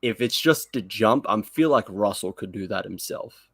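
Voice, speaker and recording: A man co-hosting a podcast, talking casually in an animated, rambling, self-deprecating way. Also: lowish voice, normal speed, no echo, studio quality. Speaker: podcast co-host. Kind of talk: casual fan podcast chat.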